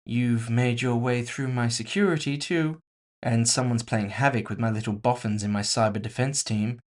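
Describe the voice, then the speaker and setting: A man's voice: mid-range voice, fairly even intonation, normal speed, close to an everyday voice. A man voicing a composed, villainous woman executive in audio fiction, casually polite, mocking and condescending. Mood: coolly dismissive. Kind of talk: audio fiction character dialogue.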